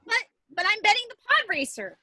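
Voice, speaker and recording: A woman's voice: very high-pitched, very animated, a little fast, bright, forceful tone, slight background noise, exaggerated voice. A woman playing a plucky, defiant young pod racer in a table read over a video call, eager and insistent. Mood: protesting. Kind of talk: fan fiction table read.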